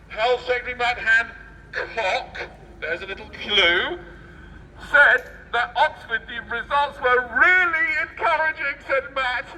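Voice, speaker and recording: Guy doing sarcastic street speech, really forceful bright voice, far from mic, bit of street noise. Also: very high voice. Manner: sarcastic, theatrical mock enthusiasm. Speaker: street performer reading a newspaper aloud. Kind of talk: satirical street oratory, reading news aloud with mocking asides. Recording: distant outdoor mic, faint street noise.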